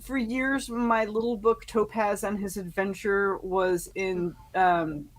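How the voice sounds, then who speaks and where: American woman, mid-range voice, talkative author guest on a relaxed panel, laughs easily. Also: medium pitch, takes it slowly, poor audio. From New York state, United States. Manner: chatty, laughs readily. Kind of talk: casual panel chat.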